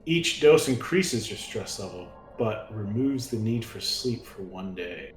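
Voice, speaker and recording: Low-voiced man in a roomy echo. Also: expressive, a little slow. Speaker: player in a tabletop roleplaying game. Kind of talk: casual game chat. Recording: roomy echo, music behind.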